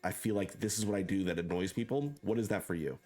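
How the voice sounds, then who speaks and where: A man hosting a casual interview, loud, joking and self-deprecating as he talks. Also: low-pitched, quick pace, faint music behind. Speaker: host.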